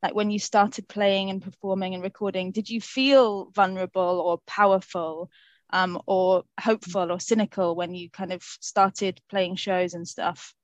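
A young woman chairs an online Q&A through a headset, upbeat and full of "um"s and slangy praise. Her voice sits at a middle pitch and sounds dry and close. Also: level tone, normal speed, no room sound, lo-fi sound. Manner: enthusiastic, lots of "um" and slangy praise. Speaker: interviewer chairing a Q&A. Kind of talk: casual online interview. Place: home bedroom. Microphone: headset on a video call.

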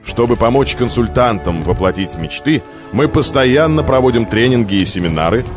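A man reading a scripted corporate promotional narration in measured, deliberate phrases. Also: mid-range voice, expressive. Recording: music under the voice. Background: music.

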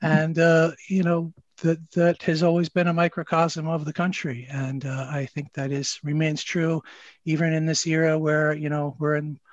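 Halting scholarly man, slightly high voice. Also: little pitch movement, dry recording. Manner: halting, thoughtful, scholarly phrasing. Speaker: historian panelist. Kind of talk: unscripted panel discussion, explanatory. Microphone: video call. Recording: dull, lo-fi video-call audio.

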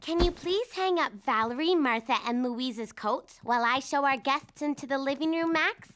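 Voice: high voice, moderate pace, fairly bright tone, slight background noise. An actor voicing a bossy, organised young girl bunny in a children's cartoon, speaking in a prim, instructive way. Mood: polite hostess. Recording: music bed behind dialogue.

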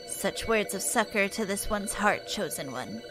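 A woman voicing a small elder sylph, gentle and solemn, speaking ceremoniously in a fantasy game. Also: mid-range voice, animated, measured pace, over music, close to an everyday voice. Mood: solemn, moved. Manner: ceremonious third-person speech.

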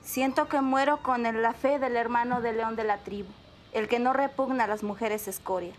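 A woman reciting a poem in a slow, solemn, declamatory way. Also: on the high side, fairly even intonation, a little hiss or hum. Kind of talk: poetry recitation.

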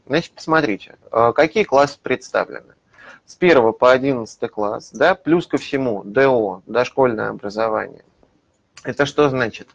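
A man in his thirties, a publisher's lead methodologist, explaining a digital library in a webinar, with frequent hesitation fillers, recorded on a webcam in a small office. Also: medium pitch. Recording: slightly dull lo-fi webinar audio.

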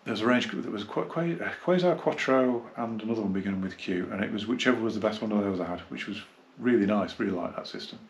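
A man gives a casual, unscripted hi-fi review, rambling and halting as he reminisces. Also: on the low side, slight background noise. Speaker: hi-fi dealer and reviewer. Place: small carpeted indoor room, seated.

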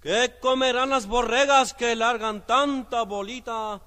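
A man trading shouted, laughing banter and mariachi cries in a reverberant recording. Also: very high-pitched, steady tone, a little slow. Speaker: mariachi performer. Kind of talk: comic banter and gritos in a mariachi song.

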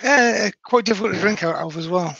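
A man comments casually as a guest on a live stream. His voice is high for a man. The sound is dry and slightly dull, like an online call. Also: a little fast, vocal fry, bright, forceful tone, breathy edge, dry recording. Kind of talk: casual banter.

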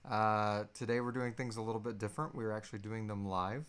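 A man's voice: on the low side, brisk, a bit bright, clean tone. A man in his thirties is hosting a live question-and-answer stream. He talks in a casual, loose and easygoing way, free with a swear word, recorded at desk distance in a small room at home. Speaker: podcast host.

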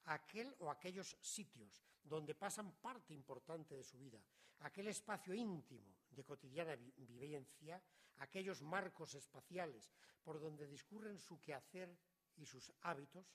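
A middle-aged man, reading a prepared academic lecture in a measured, formal way that often trails off quietly at the ends of phrases. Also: a little high, animated, slightly rough. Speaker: conference speaker.

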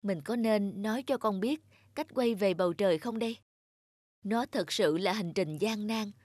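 A woman voicing a loving, wise cartoon mother raindrop in a soft, reassuring manner. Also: mid-range voice, normal speed, no room sound, studio quality, lightly acted. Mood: worried, thoughtful.

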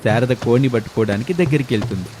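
A man's voice: medium pitch, expressive, racing, background noise. A man narrating a scripted family moral story. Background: music.

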